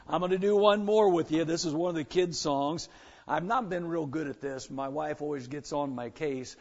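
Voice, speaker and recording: An older man with a gravelly, rough voice, a visiting gospel singer at a church service, chatting folksily between songs. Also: a little high, a little mellow. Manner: folksy, self-deprecating patter between songs. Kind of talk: church singing and chat. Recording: muffled, lo-fi with instrumental backing.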